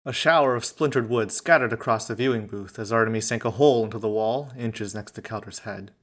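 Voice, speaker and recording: A man's voice: on the low side, brisk, a bit bright. A man reading scripted story narration from a visual novel in a dramatic style.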